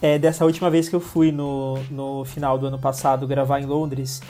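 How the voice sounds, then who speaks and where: A man's voice: medium pitch, flat delivery, normal speed, mellow. A man with a Brazilian Portuguese accent, a filmmaker guest chatting casually about his work, with hesitant restarts and frequent laughs. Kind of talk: casual interview talk.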